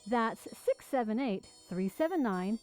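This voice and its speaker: A woman's voice: a little high, lively intonation, unhurried, darkish tone, faint music behind. A woman announcing the episode credits and contact details of a radio drama.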